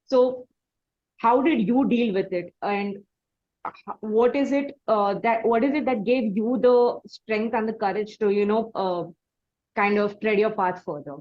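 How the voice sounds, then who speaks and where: A woman's voice: medium pitch, measured pace, highs cut off. A woman with an Indian accent interviewing conversationally, reflecting in long, wordy sentences with hesitant phrasing.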